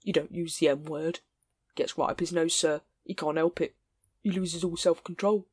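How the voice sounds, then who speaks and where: A woman's voice: sits low, fairly even intonation, normal speed, breathy edge, no room sound. A woman voicing an anxious, fumbling middle-aged sergeant who hesitates and pleads. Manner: hesitant and pleading, with ums and uhs.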